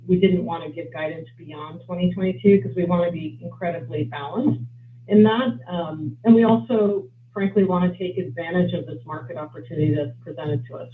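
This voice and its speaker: An executive giving measured, businesslike answers on a company earnings call. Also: mid-range voice. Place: conference call. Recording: roomy, noisy phone-line conference call audio.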